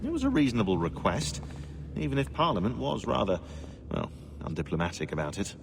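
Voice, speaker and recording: An actor playing a snide, excitable, pedantic British historian who rambles sarcastically in a video game. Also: male voice, fairly low pitch, a little fast, creaky, background noise, full character voice. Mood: dry, pedantic.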